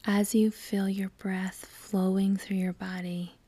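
A woman guides a meditation in a soft, breathy near-whisper. Her delivery is very slow and calm, with long pauses between phrases. The tone stays level and mellow, barely moving in pitch. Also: dry recording.

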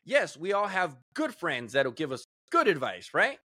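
A man's voice: on the high side, huge pitch swings, moderate pace, sharp tone, no echo, studio quality. A man hosting a casual podcast chat, emphatic and given to repeating words as he starts a point. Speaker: podcast host.

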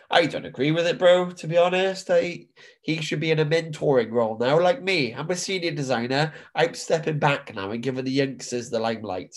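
A middle-aged British man co-hosts a podcast while stuffed up with hay fever. He chats loosely and swears now and then, with a voice of ordinary pitch. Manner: chatty, swears casually, says "bro" and "mate". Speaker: podcast co-host. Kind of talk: casual chat.

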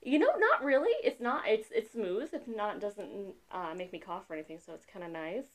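A woman's voice: medium pitch, expressive, fairly quick, noisy background. A woman in her thirties co-hosting a casual podcast chat, rambling and laughing often. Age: 30s. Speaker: podcast co-host.

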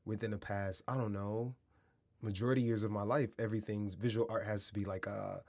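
A man's voice: fairly low pitch, brisk. Laid-back man in his thirties. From Kansas City, Missouri, USA. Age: 30s. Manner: relaxed, laid-back slang, reflective. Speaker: podcast guest. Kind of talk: casual interview chat.

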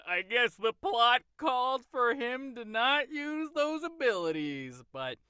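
A man's voice: very high voice, lively intonation, measured pace, bright, forceful tone, smooth, dry recording, studio quality. A man guest-hosting a movie review podcast, talking in a playful way and laughing easily. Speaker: guest host. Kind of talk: casual movie review chat.

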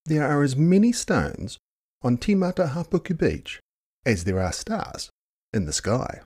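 A man reading a children's picture book expressively, dramatic, with hushed and drawn-out words. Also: fairly high pitch, huge pitch swings. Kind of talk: expressive children's story narration.

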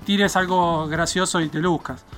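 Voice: mid-range voice, steady tone, normal speed, fairly bright tone. An interview host with an Argentine accent, chatting in a casual, playful way and putting questions to a guest. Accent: Argentine Spanish.

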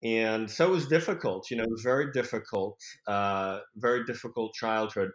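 A man's voice: lowish voice, moderate pace, a little hiss or hum. A man born in France, a podcast guest who talks reflectively with fillers and restarts. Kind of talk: conversational interview. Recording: roomy echo.

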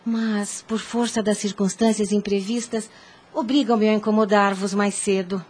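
A woman's voice: medium pitch, level tone, moderate pace, darkish tone, close to an everyday voice. An actress playing an anguished, devout mother in a radio soap opera, speaking in a formal, pleading and emphatic way. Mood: respectful, troubled. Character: anguished, devout mother pleading to protect her young daughter.

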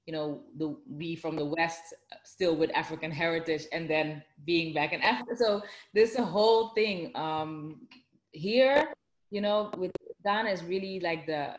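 A woman's voice: low-pitched, roomy sound. A woman with a Dutch accent, chatting casually in an interview with lots of fillers and restarts. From Netherlands.

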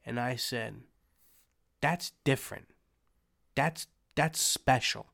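A man's voice: mid-range voice, slow pace, gravelly, a bit bright. A young man co-hosting a casual podcast, telling a rambling story full of swearing and "you know what I mean". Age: young adult. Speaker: podcast co-host. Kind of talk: casual chat.

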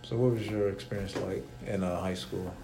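A man's voice: low voice, steady tone, normal speed, warm tone, soft background music, rough recording. A man in a casual talk, telling a story and asking questions easily. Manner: easygoing storytelling. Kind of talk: casual conversation.

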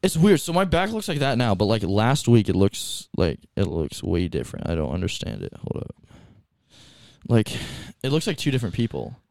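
A young man in his twenties, co-hosting a casual podcast. His voice is low and mellow, and he talks in a relaxed way with plenty of fillers. The recording is dry and close. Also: moderate pace, vocal fry, dry recording. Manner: laid-back gym talk, many "like" fillers. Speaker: podcast co-host. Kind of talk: casual chat.

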